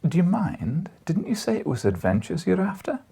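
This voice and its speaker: An old Scottish newspaper editor played by a male reader, crabbed yet coaxing. His voice is very breathy and husky and swings widely in pitch, with a teasing, deliberate manner suited to a classic adventure audiobook. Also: huge pitch swings, warm tone, heavily acted. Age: older.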